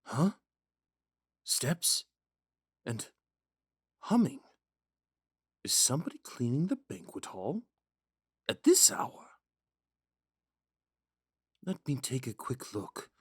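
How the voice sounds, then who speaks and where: Casting: male actor as young romantic prince, gentle and courteous, slow musing delivery with lively pitch swings, intimate dry sound. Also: breathy edge, no room sound, full character voice. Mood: curious, enchanted. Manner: musing, hesitant, courteous, with sighs and whispered asides. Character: young prince, third in line, wistful, gentle, smitten romantic. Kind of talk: romantic audio roleplay with inner monologue.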